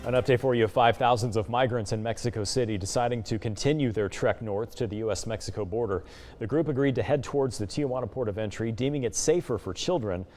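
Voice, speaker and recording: A man's voice: medium pitch, quick pace, a little mellow. An American man anchors a news bulletin. His voice sits in the middle register for a man, with faint music underneath. The delivery is formal broadcast news.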